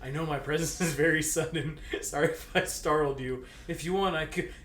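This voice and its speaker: A man's voice: a little high, brisk, a bit bright, close to an everyday voice. A man voicing a shy, nervous, polite teenage boy from fan fiction, hesitant and apologetic. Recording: roomy echo, faint background noise.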